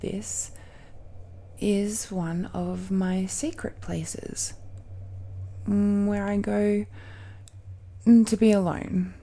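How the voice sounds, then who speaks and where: Very slow, hushed, tender girlfriend acted by a woman. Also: medium pitch, fairly even intonation, a little hiss or hum. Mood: nervous, earnest. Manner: slow, halting, hushed, often sighing or lapsing into whispers. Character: tender, nervous dragon-descended girlfriend confiding in her lover. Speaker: voice actor in an audio roleplay. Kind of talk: intimate romantic audio roleplay, soft and breathy.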